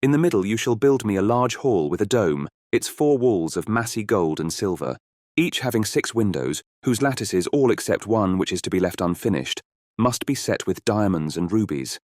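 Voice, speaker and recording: A man's voice: fairly low pitch, animated, normal speed, darkish tone, dry recording, clean studio sound, natural voice. A man acting a bold, confident young fairy-tale hero in a storybook audiobook, with a courtly, commanding delivery.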